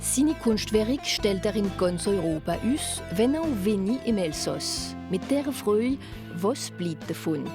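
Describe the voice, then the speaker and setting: A woman, reading a scripted TV report voice-over in an even manner. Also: mid-range voice, takes it slowly, over music. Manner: even, read-aloud delivery. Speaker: news reporter. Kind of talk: scripted narration.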